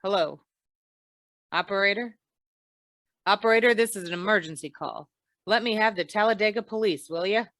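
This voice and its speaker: A woman's voice: mid-range voice, normal speed, pauses often, a bit bright, no room sound, lightly acted. A woman playing a hard-boiled, wisecracking insurance investigator in an old-time radio noir, with a dry, clipped delivery. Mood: brisk.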